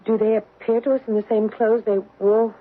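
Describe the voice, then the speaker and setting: A woman's voice: fairly high pitch, little pitch movement, natural voice. A woman playing an anxious young mother in a haunted house, speaking hesitantly in a radio drama. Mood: uneasy. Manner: hesitant, halting, stammering. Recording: tinny, noisy old radio broadcast.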